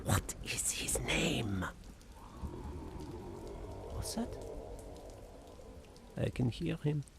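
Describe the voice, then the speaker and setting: Tormented frenchman losing his mind, horror audio play, slow brooding delivery, pitch all over the place. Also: expressive, creaky, whispered, heavily acted. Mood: hushed, haunted. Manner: theatrical, brooding, formal diction. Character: tormented Frenchman, haunted, feverish, slipping into madness. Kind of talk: audio horror drama acting.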